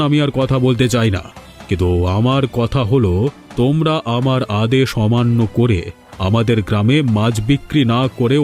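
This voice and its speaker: A man acts out a domineering village landlord for a cartoon. His voice sits at an ordinary male pitch, and he speaks sternly, with command and menace. There is music behind him. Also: darkish tone, close to an everyday voice. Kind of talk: cartoon voice acting.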